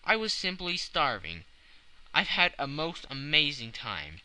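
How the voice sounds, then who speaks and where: A man voicing a weary, starving Victorian gentleman time traveler in an audiobook, emphatic and earnest. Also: on the high side, sing-song, unhurried, clear, resonant tone, heavily acted, rough recording. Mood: apologetic. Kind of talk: audiobook character voice.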